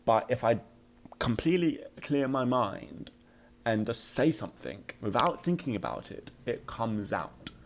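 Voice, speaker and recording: A man giving an informal motivational talk as a podcast host, with a slight occasional stutter and a halting, emphatic delivery that repeats words. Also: medium pitch, big pitch swings, slight background noise.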